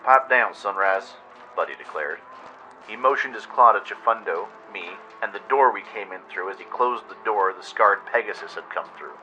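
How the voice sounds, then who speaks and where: A man's voice: lowish voice, animated, normal speed, bright, forceful tone, highs cut off. A man reading a dramatic scripted adventure story as narrator. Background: music.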